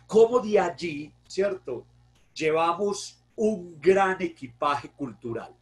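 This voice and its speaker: A man's voice: high voice, animated, slow pace. A man with a paisa accent from Antioquia giving a conversational history lecture online, often adding tag questions and correcting himself. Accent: Paisa Colombian Spanish. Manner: conversational, warm greetings, frequent tag questions, self-corrections. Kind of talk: virtual history talk to an online audience.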